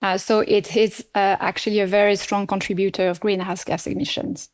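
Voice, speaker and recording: Middle-aged woman, native French speaker, French accent; podcast guest, measured and thoughtful with hesitations. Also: mid-range voice, level tone, clear, resonant tone. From France. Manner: measured, many fillers and restarts. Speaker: nonprofit CEO, guest. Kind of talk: podcast interview.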